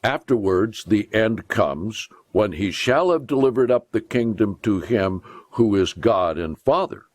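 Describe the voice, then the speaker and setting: A man with a fairly low voice reads scripture in a solemn, deliberate way. His pitch moves in a lively, expressive range as he stresses key phrases. He takes his time, leaving measured pauses between phrases. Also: no room sound, studio quality. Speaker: pastor reading scripture.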